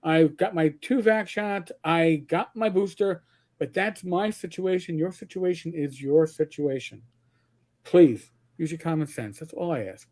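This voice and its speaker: A man's voice: fairly high pitch, a little slow, darkish tone. A man from Queens with a New York accent, hosting a casual livestream chat, rambling, swearing freely and stumbling over repeated words. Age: older. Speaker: host.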